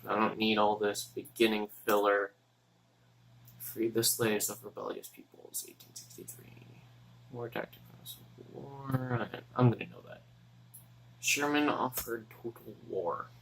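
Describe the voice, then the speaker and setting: A young man from Texas, a student, mutters to himself in a halting, casual way as he works through his notes. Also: fairly low pitch, fairly even intonation, fairly bright tone, a little hiss or hum, low-quality recording. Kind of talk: casual study vlog, thinking aloud.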